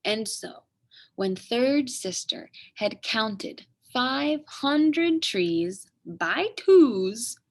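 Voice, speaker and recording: A woman reading a picture book aloud to children as an expressive, dramatic storyteller with emphatic pauses. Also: fairly high pitch.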